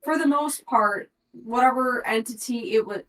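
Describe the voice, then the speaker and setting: A woman's voice: on the high side, moderate pace, a bit bright. A woman playing a tabletop game, talking through clues and reading handouts aloud, sometimes stumbling over words. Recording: roomy echo, distant from the mic.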